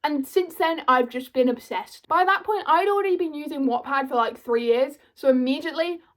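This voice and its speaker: Brief: woman, 21, high voice, chatty and self-mocking to camera. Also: fairly quick. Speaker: vlogger. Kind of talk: casual chatty commentary.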